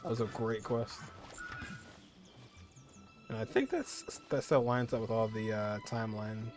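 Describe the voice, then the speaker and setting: A man's voice: on the low side, unhurried. Casual man commentating over game music. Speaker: gaming video host. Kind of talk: casual let's-play commentary.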